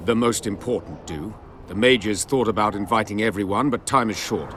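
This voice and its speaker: An actor playing a self-assured, aloof court official in a fantasy video game, speaking in a cool, formal, matter-of-fact way. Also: male voice, on the low side, moderate pace, a bit bright, a little hiss or hum, close to an everyday voice. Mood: cool, dismissive.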